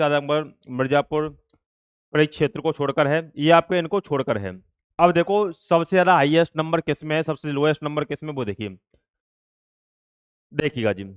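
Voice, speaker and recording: A man with a fairly high, clean and smooth voice presents updates to students. He explains informally and repeats himself as he reads out notices and numbers. The recording is dry and somewhat dull. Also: fairly bright tone, no room sound. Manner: repetitive, filler-heavy explaining that addresses students directly. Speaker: exam-update presenter for an online coaching channel. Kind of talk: informal explainer, reading out official notices and figures.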